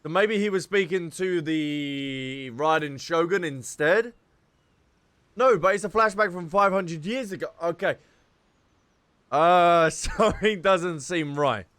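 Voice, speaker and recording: Higher-voiced man, casual gaming commentary. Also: takes it slowly, fairly bright tone, clear, resonant tone, no room sound. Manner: informal, thinking aloud. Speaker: game streamer. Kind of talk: casual gameplay commentary, reacting aloud.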